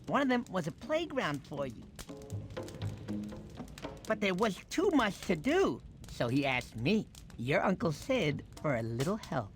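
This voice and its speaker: Casting: doting, goofy sloth narrator in an animated game, stammering and very animated, music underneath. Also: male voice, high voice, unhurried. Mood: doting, theatrical. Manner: rambling, stammering, theatrical. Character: goofy, affectionate male sloth uncle, rambling storyteller. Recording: music behind the voice.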